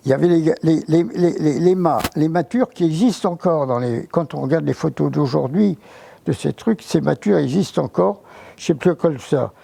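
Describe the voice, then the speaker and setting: An older man reminisces in a heavily gravelly, husky voice, warm and dark in tone. He talks haltingly, often stopping to restart his sentences. He sits for a recorded interview. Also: fairly high pitch. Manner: hesitant, with many false starts.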